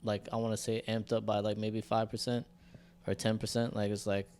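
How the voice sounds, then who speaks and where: A man's voice: on the low side, little pitch movement, fairly quick, darkish tone, slight background noise. A young man co-hosting a podcast, telling stories in loose, profane slang. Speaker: podcast co-host. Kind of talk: casual chat.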